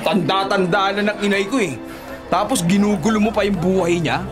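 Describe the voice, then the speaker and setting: A man's voice: high voice, steady tone, a little fast, music in the background, close to an everyday voice. A man playing a drunken, belligerent grown son in a Filipino radio drama, confrontational and taunting. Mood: drunken rage.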